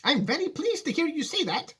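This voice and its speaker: Storybook eccentric old man, played by a male reader: commanding, excitable, raised pitch, very animated. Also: brisk, heavily acted. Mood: delighted, solemn. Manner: emphatic, exclamatory. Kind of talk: storybook read aloud.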